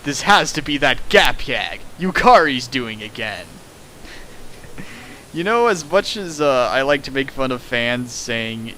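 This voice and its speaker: Young male host, comedic dramatic reading, bright and lively, goes high for jokes. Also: measured pace, a little hiss or hum, poor audio. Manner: animated, jumps in pitch for jokes and sound effects. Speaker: host reading a fan fiction aloud.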